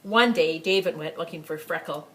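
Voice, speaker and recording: A woman reading a picture book aloud to children as a library storyteller, with expressive, emphatic phrasing and chatty asides. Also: sits low, moderate pace. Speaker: story time reader for a school library.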